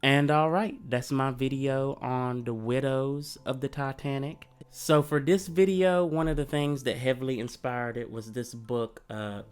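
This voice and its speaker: A man's voice: medium pitch, clean tone. A man hosting a history channel, talking in an earnest, conversational way while he comes down with a cold. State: coming down with a cold. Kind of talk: reflective history commentary.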